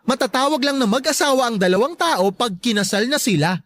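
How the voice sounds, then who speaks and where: Sassy, animated campy best friend acted by a woman. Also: fairly high pitch, a little fast, no room sound, lightly acted. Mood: mocking. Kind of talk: Tagalog radio drama acting.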